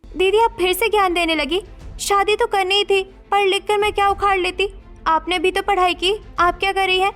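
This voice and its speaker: A very high, girlish voice from a woman acting for a sulky teenage bride in a cartoon story. She is naive and stubborn, whining and pleading over faint music. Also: steady tone, normal speed, a bit bright. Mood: defiant.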